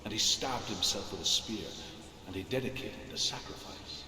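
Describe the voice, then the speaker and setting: A man playing a solemn storyteller of old myths in a game, with measured, emphatic delivery. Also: lowish voice, crowd noise, close to an everyday voice. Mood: grave. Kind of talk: dramatic myth storytelling in a game.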